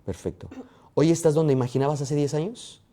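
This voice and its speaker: A man hosting an interview podcast, firing off quick either-or questions to his guest. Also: fairly high pitch, dark tone. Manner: quick rapid-fire questions. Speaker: podcast host.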